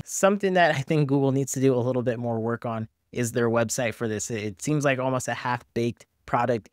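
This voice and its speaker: A man's voice: medium pitch, no room sound. A man in his thirties giving a casual screen-share review, conversational and candid, speaking directly to viewers into a close desk microphone. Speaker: host, tech reviewer. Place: small home office or studio, indoors. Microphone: desktop microphone close in front.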